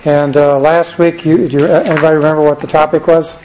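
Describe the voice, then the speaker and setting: A soft-spoken man teaching a church Bible class and leading a prayer, halting with frequent fillers. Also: on the high side, flat delivery, slight background noise, rough recording.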